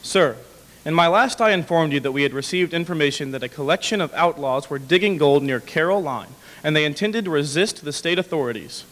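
A young man reading a formal historical letter aloud at a podium microphone, somewhat halting over the words. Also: a little high, lively intonation, a bit bright. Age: young adult. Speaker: dramatic reader. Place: indoor hall with brick walls, small audience. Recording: reverberant hall.

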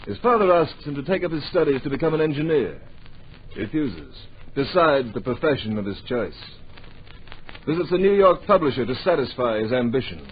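A man's voice: medium pitch, expressive, fairly quick, lightly acted. A man giving dramatic scripted narration for a radio drama in clipped, portentous phrases. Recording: dull, hissy old radio recording.

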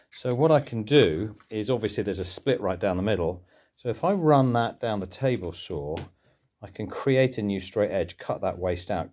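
A man's voice: fairly low pitch, darkish tone. A middle-aged man chatting casually as he works outdoors on a DIY project, explaining each step with ums and restarts, recorded on an action camera. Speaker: vlogger doing home DIY. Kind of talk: casual narration while working.

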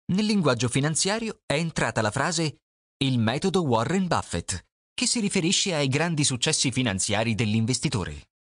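Man narrating with a lively, animated pitch. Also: no room sound, clean studio sound. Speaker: narrator presenting an audiobook. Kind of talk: scripted narration.

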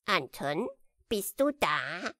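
Slow, drawling pet parrot. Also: female voice, fairly low pitch, very animated, a bit bright, dry recording, clean studio sound, full character voice. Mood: mimicking, calling. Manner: drawn-out squawky calling. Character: chattering pet parrot in gilded cage.

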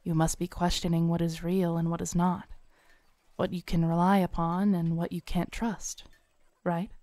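A woman playing a kindly, knowing tarot reader at a Renaissance faire, speaking softly, smoothly and soothingly to the listener in an audio roleplay. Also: fairly low pitch, little pitch movement, no room sound, lightly acted. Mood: mysterious, reassuring. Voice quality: smooth, soft. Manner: unhurried, soothing, theatrical, with dramatic pauses. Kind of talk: soft-spoken audio roleplay addressed to the listener. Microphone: close studio mic, dry.

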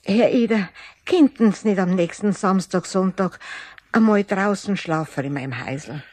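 A woman's voice: medium pitch, normal speed, darkish tone, close to an everyday voice. A woman playing a worried, pleading garden owner in a radio play, with a Bavarian accent and a plaintive way of talking.

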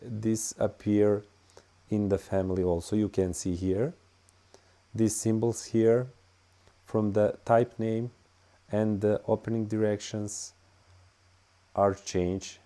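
A man with a Bosnian accent talks through a screen-recorded tutorial, speaking English as a second language. His voice is low and dark, and his delivery is slow and halting, full of fillers. It sounds like a plain desktop-mic voice-over. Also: level tone. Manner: halting, many "uh" and "all right" fillers. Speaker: tutorial host.

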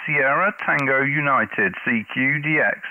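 A man's voice: mid-range voice, measured pace. A middle-aged British man chatting casually and making calls as an amateur radio operator. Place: small home radio room, indoors. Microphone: headset. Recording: narrow, tinny, phone-like sound.

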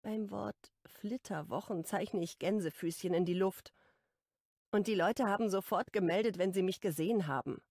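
A woman's voice: mid-range voice, normal speed, mellow, no room sound. Woman, audiobook narrator, first-person romance, intimate and playful, close mic, dry sound.

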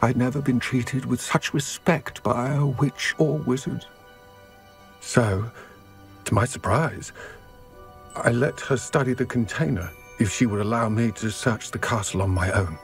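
An actor playing a weary, solemn goblin recounting his past in a game cutscene, with measured, somber delivery. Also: mid-range voice, soft background music, lightly acted. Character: weary old male goblin, solemn and grave. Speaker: game character.

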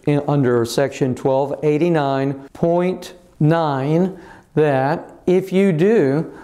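An older man, an attorney, explaining the law to camera in a measured way with frequent pauses, recorded on a lapel mic in an office. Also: mid-range voice, mellow. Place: office with bookshelves, indoors.

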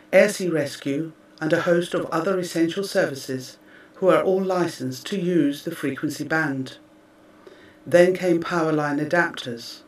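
A man with a fairly high-pitched voice delivers scripted narration in a measured, formal manner. The recording is clearly echoey, and he sounds a little away from the microphone. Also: a little mellow. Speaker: narrator reading a press release. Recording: roomy, distant microphone.

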